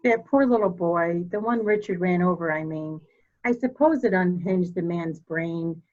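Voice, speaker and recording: A woman playing a proud, formal, candid elderly mother in a murder mystery reading, speaking deliberately. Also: fairly low pitch, darkish tone, muffled recording, close to an everyday voice. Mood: cool, musing. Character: proud, cold old mother, candid and slightly deaf.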